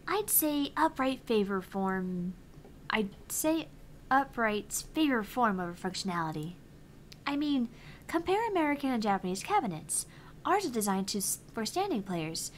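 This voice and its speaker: A woman's voice: fairly high pitch, a little hiss or hum. A woman voicing a cheerful, easily flustered young female arcade technician in a visual novel, chirpy and stammering. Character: cheerful young female arcade repair techie, eager geek. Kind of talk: visual novel character dialogue.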